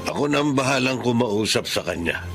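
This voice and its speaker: A man playing a grave, concerned older uncle in a Filipino radio drama, measured and reflective. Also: mid-range voice, animated, music in the background, natural voice.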